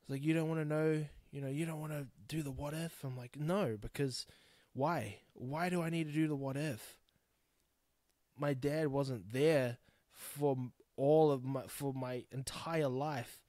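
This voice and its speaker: A man's voice: fairly high pitch, steady tone, measured pace, darkish tone, no echo, clean studio sound. A man in his thirties with a New Zealand accent, hosting a podcast alone, talking bluntly and frankly, swearing freely as he rambles. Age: 30s. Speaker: podcast host. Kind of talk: candid solo podcast monologue.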